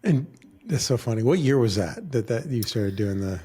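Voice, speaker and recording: A man in his forties hosting a podcast interview, chatty and quick to chime in with reactions. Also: medium pitch, animated, dark tone, slightly rough. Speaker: podcast host.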